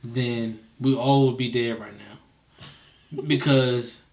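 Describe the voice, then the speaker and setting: A man with a mid-pitched voice talks in a casual, conversational way. His phrasing is informal and slangy, and he repeats short phrases to stress a point. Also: fairly even intonation, takes it slowly, darkish tone. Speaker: interviewer.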